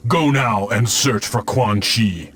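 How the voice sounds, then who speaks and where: An actor voicing a vengeful undead ninja specter, stern, menacing and formal, in a video game cutscene. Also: male voice, fairly low pitch, slow, fairly bright tone. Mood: grim, menacing. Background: music.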